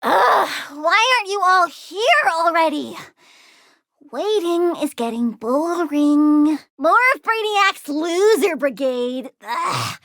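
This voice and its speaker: A woman's voice: extremely high pitch, animated, slow pace, a bit bright, dry recording, studio quality, full character voice. A woman voicing a bratty, petulant young girl villain with plant powers in a video game, talking in a childish, cheeky way. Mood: petulant, annoyed.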